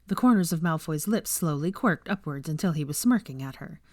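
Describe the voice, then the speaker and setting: Female story narrator, low register, dry close recording, scripted fiction reading. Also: animated, brisk, a little mellow, dry recording.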